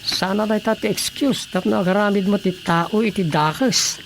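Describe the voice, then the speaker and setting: A man's voice: high-pitched, moderate pace. A man playing a stern village official. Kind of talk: radio drama acting. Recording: noisy radio drama recording.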